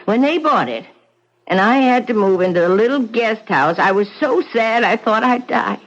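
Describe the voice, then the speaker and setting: An actress playing a bitter, superstitious old widow in a radio drama, speaking in a dire, theatrical way. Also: female voice, on the high side, expressive, normal speed. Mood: mournful. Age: older. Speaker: widow in wheelchair. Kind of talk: vintage radio drama acting. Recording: dull old radio recording.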